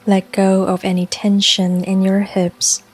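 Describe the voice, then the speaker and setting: Slow, even-toned woman guiding meditation. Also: medium pitch, breathy edge. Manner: calm, soothing, unhurried delivery with long pauses. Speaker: guided meditation host. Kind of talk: scripted guided meditation narration. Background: soft music and rain sounds.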